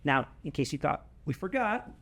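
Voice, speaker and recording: A man's voice: a little high, big pitch swings, moderate pace, gravelly, a bit bright. A man presenting a scripted product announcement as a company presenter, emphatic and given to repeating key phrases.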